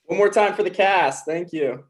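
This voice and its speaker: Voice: mid-range voice, moderate pace, crowd noise. A narrator reading scripted stage directions at a comedy table read.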